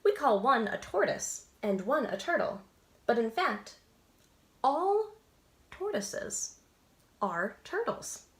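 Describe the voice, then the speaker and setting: A woman's voice: a little high, very animated, slow, long pauses. A woman doing a cheery young zookeeper. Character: cheerful young male zookeeper showing children around. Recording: roomy echo.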